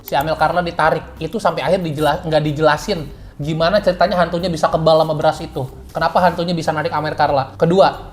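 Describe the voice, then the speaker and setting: Fast-talking woman, low voice. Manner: quick, listing plot holes point by point. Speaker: co-host film reviewer. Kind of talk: casual film review chat.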